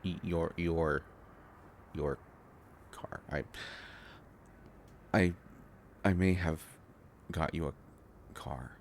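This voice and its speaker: A man acting a shy, flustered, devoted inventor boyfriend in an intimate roleplay audio drama, talking in halting, stammering rambles. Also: sits low, fairly even intonation, a little hiss or hum, heavily acted. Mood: nervous, defensive.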